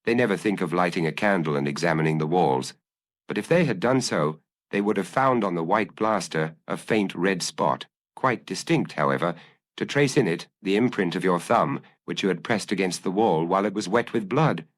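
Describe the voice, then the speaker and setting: A man acts out a hard-nosed ex-inspector in a detective audiobook. He speaks dryly and precisely, with animated pitch swings and a firm, commanding edge as he presses his mark. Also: moderate pace. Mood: relentless, precise. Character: dry, hard, commanding male ex-inspector blackmailer. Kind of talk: audiobook character acting.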